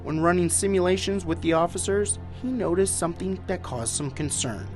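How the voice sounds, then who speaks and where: A man's voice: sits high, brisk, darkish tone, soft background music. An American man narrating a scripted television news report as a reporter.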